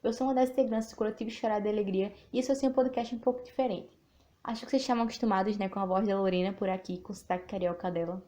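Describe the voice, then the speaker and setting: Mellow-voiced Sergipe woman podcasting. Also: mid-range voice, fairly even intonation, very fast. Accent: Sergipe, Northeastern Brazilian. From Northeast Brazil (Sergipe). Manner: chatty, informal, reflective. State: a little nervous. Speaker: podcast host and writer. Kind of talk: podcast monologue with reading of her own text.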